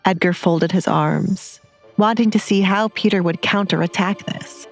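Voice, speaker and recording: A woman's voice: mid-range voice, a little slow, creaky, soft background music. A woman reading scripted dramatic story narration.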